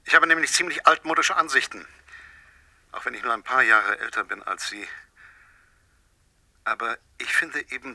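Sharp, coolly menacing stranger. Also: male voice, lowish voice, dry recording, exaggerated voice. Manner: measured, formal, moralising, coolly menacing. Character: menacing, composed male stranger making threats by telephone. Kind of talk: radio drama dialogue.

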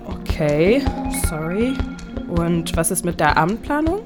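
A young woman playing a caring best friend and flatmate in a naturalistic German audio drama, casual and a little scattered. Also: lowish voice, a little slow, music in the background. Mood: concerned, tender.

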